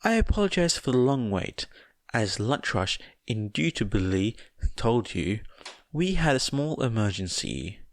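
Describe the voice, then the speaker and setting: A man's voice: mid-range voice, very animated, unhurried. A man voicing a small, genteel animal school principal, cheerful, formal and politely cunning, in an audiobook. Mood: cheery.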